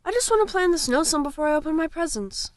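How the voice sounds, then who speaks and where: A woman plays a little boy in a storybook drama, eager and impatient. The voice is high and swings freely as he pleads. It sounds dry and close. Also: animated, quick pace, dry recording. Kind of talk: audio storybook acting.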